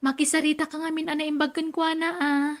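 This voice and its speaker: An actress playing a dutiful, self-sacrificing young aunt in an Ilocano radio drama, with an Ilocano accent. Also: female voice, high-pitched, flat delivery, quick pace, natural voice. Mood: patient.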